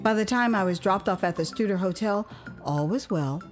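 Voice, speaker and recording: An American woman narrating a dramatised memoir in a theatrical, expressive storytelling manner. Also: medium pitch, normal speed, soft background music. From United States, Houston. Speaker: author and storyteller.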